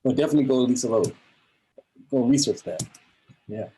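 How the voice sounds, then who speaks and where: A man industry guest giving upbeat, halting feedback on a song. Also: mid-range voice, warm tone, breathy edge, poor audio. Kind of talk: casual feedback.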